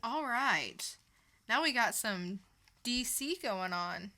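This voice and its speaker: A woman's voice: fairly high pitch, lively intonation, slow, pushed and bright. A woman co-hosting a podcast, giving casual, informal opinions in a review chat. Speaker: podcast co-host.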